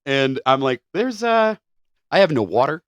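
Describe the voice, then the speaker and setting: A man's voice: fairly high pitch, big pitch swings, moderate pace, dry recording, lo-fi sound. Rambling male podcast storyteller. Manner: rambling, restarts, swears casually. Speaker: podcast co-host.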